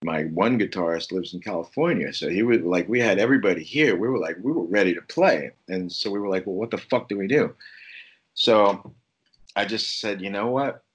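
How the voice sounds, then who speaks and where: Deep-voiced man, profane and rambling. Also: brisk, breathy edge. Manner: profane, rambling, with many restarts. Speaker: musician interviewee. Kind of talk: casual interview talk. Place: at home during lockdown.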